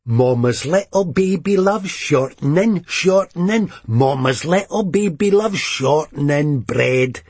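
Audiobook: man voicing a doting Scottish mother, husky, high and lilting, fond and gossipy. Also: very animated, slow pace, a little mellow, no echo, full character voice. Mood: sing-song. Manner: doting, sing-song.

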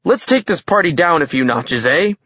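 A man's voice: a little high, animated, normal speed, bright, forceful tone, slightly rough, no room sound, highs cut off, pitched above the performer's own voice, exaggerated voice. A man voicing a commanding giant king in a fantasy audiobook, genial, showy and slyly menacing. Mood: jovial, commanding.

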